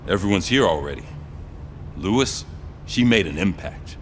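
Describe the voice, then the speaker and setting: A man's voice: low voice, expressive, moderate pace, a bit bright. A man playing a stern but caring police sergeant in a video game, earnest and plain-spoken. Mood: earnest, gruff resolve. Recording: long reverb of a garage, noisy vehicle ambience.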